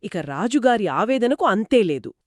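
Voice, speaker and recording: A woman's voice: medium pitch, very animated, moderate pace, no room sound, studio quality. A woman co-hosting a conversational discussion of a story, explaining it as a storyteller would. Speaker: podcast co-host.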